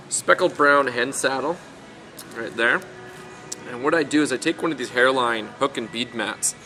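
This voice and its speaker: Hoarse man, bright chatty voice. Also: mid-range voice. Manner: relaxed, chatty, lots of ums and uhs. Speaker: fly-tying instructor. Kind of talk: casual step-by-step demonstration. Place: fly shop tying bench, indoors.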